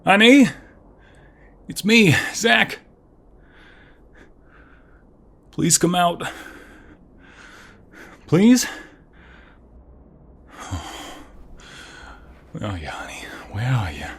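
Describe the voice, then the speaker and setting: A man acting as a protective man in love in an ASMR roleplay, moving between intimate murmurs and forceful lines. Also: high-pitched, wildly swooping pitch, vocal fry, husky, full character voice. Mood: anxious. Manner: intimate, slow, swinging from soft murmur to forceful. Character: protective young man in love, tender but fierce.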